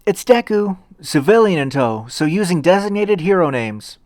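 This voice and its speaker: A man acts out a teenage boy hero from a fan fiction story. He is earnest and eager, with a raised pitch and a nervous, stammering politeness. The sound is dry and close. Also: very animated, moderate pace, a little mellow, dry recording. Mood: urgent shouting. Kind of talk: fan fiction audio reading.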